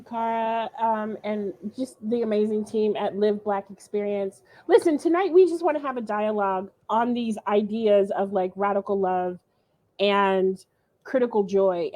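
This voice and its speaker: A woman's voice: mid-range voice, mellow. A woman professor and guest presenter giving an earnest, explanatory talk, correcting herself now and then. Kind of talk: conversational lecture.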